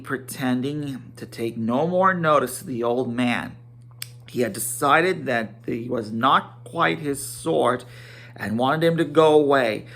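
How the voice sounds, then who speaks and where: A man narrating a fantasy tale as a dramatic amateur reading, halting now and then to repeat or correct a phrase. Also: medium pitch, fairly bright tone. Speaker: amateur storybook reader.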